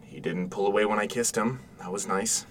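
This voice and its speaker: A man's voice: on the low side, brisk, close to an everyday voice. Distant, noisy recording of a man acting an eager dad. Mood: gentle, fond. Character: eager, boyish, gentle father of a young son. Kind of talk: naturalistic audio drama acting. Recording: distant mic, noisy room sound.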